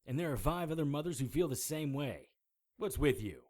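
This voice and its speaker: An actor playing a hard-boiled, sardonic occult investigator in a noir audio drama, blunt and world-weary. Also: male voice, on the high side, lively intonation, brisk, a little mellow. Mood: scornful, exasperated.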